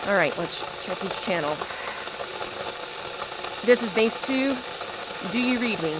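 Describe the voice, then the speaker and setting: A woman's voice: mid-range voice, lively intonation, measured pace, heavy background noise, close to an everyday voice. A woman acting an anxious, dutiful night-shift radio operator at a reactor base in an audio drama, calling out in radio procedure. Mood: worried, self-calming. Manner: radio-procedure call-outs, increasingly urgent.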